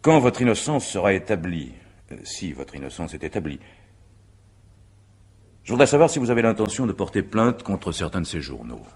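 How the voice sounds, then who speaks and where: An actor playing a courteous, methodical examining magistrate in a radio mystery drama, speaking in formal, measured, legalistic phrases. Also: male voice, deep voice, sing-song, darkish tone, breathy edge, full character voice. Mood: measured, ironic.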